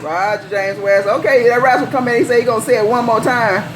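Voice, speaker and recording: A woman's voice: a little high, steady tone, fast talker. American woman bantering on noisy CB radio. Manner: folksy, repeats calls and handles. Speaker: CB radio operator. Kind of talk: CB radio banter full of slang and sign-offs. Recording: noisy live CB radio setup.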